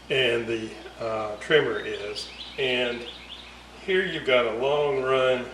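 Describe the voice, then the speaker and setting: Slow-talking man, distant in a roomy space. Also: medium pitch, fairly bright tone. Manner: hesitant, thinking aloud in broken phrases. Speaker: hobbyist radio restorer presenting a repair series. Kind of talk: casual unscripted technical explanation. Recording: roomy, distant mic, clear ambient noise.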